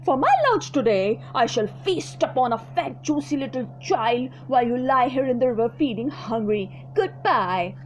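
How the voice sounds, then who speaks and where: A woman voicing a big, boastful, greedy male crocodile who speaks slowly and gloatingly. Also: high voice, lively intonation, slight background noise. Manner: slow, gloating, drawn-out delivery.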